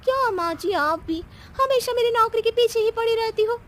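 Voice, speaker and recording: A woman's voice: very high voice, moderate pace, slight background noise. A woman playing a chatty, nagging household cook in a children's story cartoon, whiny and insistent. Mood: plaintive.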